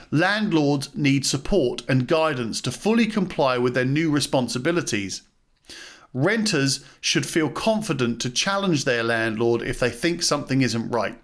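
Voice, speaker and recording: A man reading a policy document aloud as scripted narration, in a formal and measured manner. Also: a little high, fairly bright tone. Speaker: reader, podcast host and landlord.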